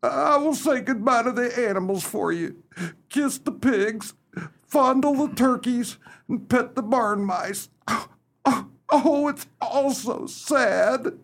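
An actor playing a sad, sentimental old farmer in a comic radio melodrama, with a creaky, husky voice. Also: male voice, extremely high pitch, slow, fairly bright tone, full character voice. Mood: tearful, mournful.

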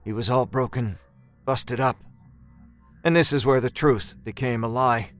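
A man reading a first-person horror story as a storyteller, in a measured, expressive and dramatic way. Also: mid-range voice, faint music behind. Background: musical score.

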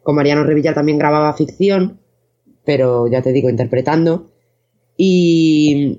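A woman's voice: low voice, mellow. A woman from chatting casually as an interview guest. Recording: dull, band-limited sound.

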